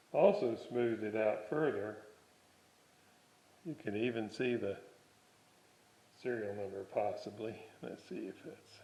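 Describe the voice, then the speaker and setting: A man giving a casual hands-on workshop demonstration, halting and thinking aloud as he works. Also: fairly low pitch, a little mellow. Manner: musing aloud, halting, thinking while working. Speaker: hobbyist presenter of a restoration video series. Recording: dull, roomy home workshop recording.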